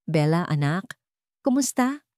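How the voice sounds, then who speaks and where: A woman's voice: fairly low pitch, sing-song, measured pace, a little mellow, no echo, studio quality. A woman voicing a humble, hurting elderly father in an audio drama, meek, apologetic and pleading. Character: humble, hurting elderly father asking his children for help.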